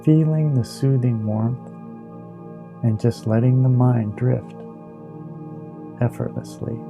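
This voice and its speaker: A man leading a guided meditation in a slow, soothing, hypnotic manner, with long pauses between short phrases. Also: mid-range voice. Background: ambient new-age music with singing bowls.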